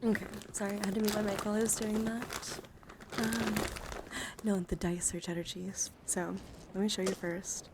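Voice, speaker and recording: A soft-spoken woman doing a casual ASMR live stream, often slipping into whispers and rambling informally, with playful asides. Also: mid-range voice, flat delivery, moderate pace. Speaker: streamer, host of a live card-collection stream. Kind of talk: ASMR, casual live show-and-tell with chat. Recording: clear background noise and handling sounds.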